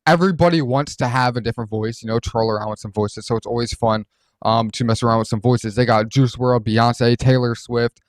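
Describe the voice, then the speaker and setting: A man presents a software walkthrough with a mid-pitched voice. He talks informally and rambles a little as he explains. The recording is dry, with no room sound around him. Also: fairly even intonation, fairly quick, no echo. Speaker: host reviewing voice changer software.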